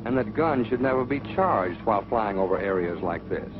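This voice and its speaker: A man's voice: lowish voice, animated, a little fast, over music, natural voice. A man narrating a 1940s training film as a stern military flight instructor, authoritative, scolding and didactic. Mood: stern instructing. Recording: muffled noisy 1940s film soundtrack.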